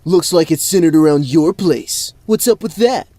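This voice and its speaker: Man as a high, animated, cocky swordsman. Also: moderate pace, no room sound. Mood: confrontational. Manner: boastful, bro-like, earnest. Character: cocky, clueless young male adventurer and sword fighter. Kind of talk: fantasy audio drama acting.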